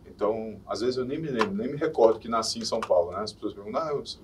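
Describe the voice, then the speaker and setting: A man in his forties, from Minas Gerais in Brazil, chatting easily as a podcast guest and often letting his sentences trail off softly. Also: on the low side, very fast, slight background noise. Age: 40s. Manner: easygoing, laughs off, trails off softly. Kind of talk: casual interview chat. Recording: distant mic.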